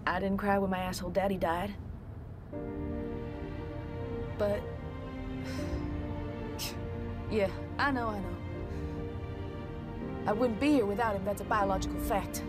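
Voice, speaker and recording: A mouthy young weapons mechanic from a video game, played by a woman. She is blunt and sassy and likes to needle. Her voice sits at a middle pitch, with music strongly present behind it. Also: steady tone, measured pace, long pauses, lightly acted. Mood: wistful. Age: young adult. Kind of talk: video game cutscene acting.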